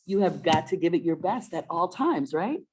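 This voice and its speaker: Woman host, middle-aged, low voice. Conversational, encouraging presenter for a kids' story-time webinar. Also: lively intonation, normal speed, husky. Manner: encouraging, often asks questions. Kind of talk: casual conversational presenting to and with a child.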